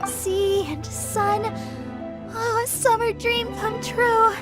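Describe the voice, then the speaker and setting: Very high, sweet teenage girl. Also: steady tone, slow. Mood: dreamy. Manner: sweet, bright-eyed, eager to please. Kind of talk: video game character acting. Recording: music playing behind the voice.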